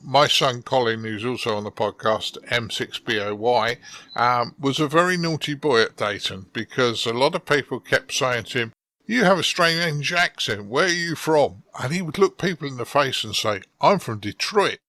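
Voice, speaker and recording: A man hosting a podcast, chatty and friendly, with a bright, forward voice. He sounds close and dry, with no room sound. He leads the chat in an easy, lively way. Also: mid-range voice, moderate pace, no echo. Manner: friendly, chatty, animated when telling a story. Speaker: podcast host. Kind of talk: casual interview chat.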